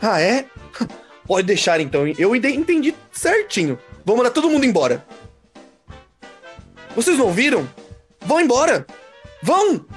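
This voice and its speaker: A man's voice: very high voice, wildly swooping pitch, normal speed, long pauses, heavily acted. A self-satisfied, gluttonous suitor acted by a man in a comic radio soap. He blusters theatrically, his voice swinging up and down over light music. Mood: jovial, eager, then blustering.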